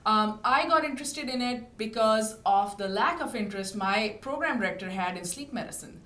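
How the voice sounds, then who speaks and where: A woman from Pakistan in her thirties, a physician being interviewed, who explains things in a measured way with many fillers. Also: on the high side, expressive, pushed and bright, roomy sound. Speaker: physician guest. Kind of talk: interview answers. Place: podcast studio.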